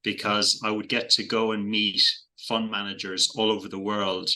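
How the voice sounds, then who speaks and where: A middle-aged Irish man with a low voice and a strikingly bright, forceful edge. He talks in an easygoing interview manner, circling back over his words as he finds the right phrasing. His laughs come lightly. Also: flat delivery, moderate pace, low-quality recording. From Ireland. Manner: thoughtful, repeats words while finding phrasing, light laughs. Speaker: investment guest. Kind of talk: casual interview chat.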